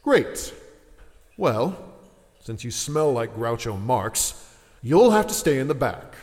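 An actor playing a condescending, bullying restaurant boss in an audio drama, mocking and domineering. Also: male voice, medium pitch, sing-song, slow, darkish tone, in a large hall. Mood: smug, domineering.

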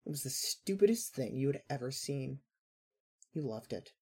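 Fiction podcast narrator: mellow, mid-pitched, a little husky, scripted storytelling read. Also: lively intonation, moderate pace.

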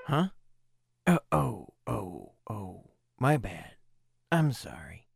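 A man's voice: lowish voice, big pitch swings, slow, creaky, loud music behind the voice. A man playing sidekick in casual comic banter, with a hesitant, sheepish, offhand slacker delivery.

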